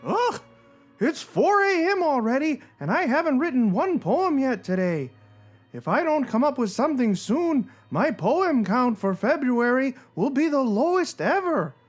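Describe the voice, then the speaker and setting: A woman's voice: high voice, very animated, a little slow, soft background music, full character voice. A woman playing a pretentious, frustrated poet from a cartoon, reading a blog in a brooding, melodramatic way.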